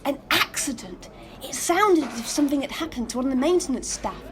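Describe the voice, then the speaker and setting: A woman's voice: high voice, expressive, fairly quick, background noise. A woman playing a genteel, gossipy hotel receptionist in an audio drama, prim and coy. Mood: coy gossiping.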